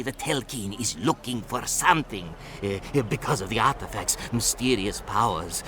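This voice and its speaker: A man voicing an anxious, scholarly older priest in a video game, with a theatrical, halting delivery. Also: fairly high pitch, bright, forceful tone, slight background noise, heavily acted. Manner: theatrical, halting, muttering then exclaiming. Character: anxious, scholarly male priest-mentor of an ancient order.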